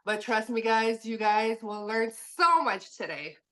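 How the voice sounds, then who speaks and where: A French Canadian woman, a native French speaker, chatting in a lively way as a podcast guest. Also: a little high, measured pace. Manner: lively, chatty, laughs easily. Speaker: podcast guest, permanent makeup educator. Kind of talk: podcast interview.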